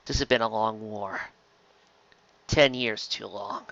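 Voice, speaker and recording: Male narrator for dramatic sci-fi fiction: bright, intense delivery, mid-pitched, slightly dull recording. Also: steady tone, slow, creaky, no echo. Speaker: story reader.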